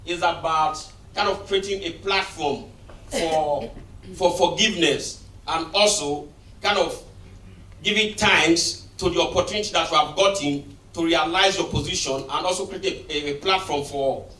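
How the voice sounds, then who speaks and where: Bright-voiced man thanking the panel. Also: fairly high pitch, moderate pace. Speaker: audience member. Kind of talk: audience comment at a film Q&A. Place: cinema auditorium. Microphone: point-and-shoot camera across the room. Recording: echoey hall, distant camera mic.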